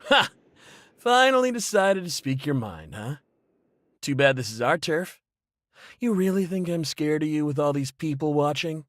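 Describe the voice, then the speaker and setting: A resentful, brash pirate man from an anime game, pitched fairly high. His scornful speech leaps up and down with hot temper. The recording is very dry. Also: lively intonation, moderate pace, fairly bright tone, dry recording. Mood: taunting. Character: hot-headed, resentful male tavern-going pirate. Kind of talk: English anime game voice acting.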